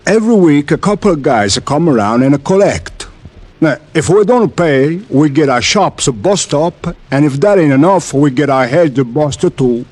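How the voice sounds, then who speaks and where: An actor playing a worried but good-humoured Italian butcher in an old radio drama, in halting broken English with a strong Italian accent. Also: male voice, on the high side, expressive, darkish tone. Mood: worried, hesitant. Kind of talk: 1940s-50s radio drama acting. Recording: old-time radio recording.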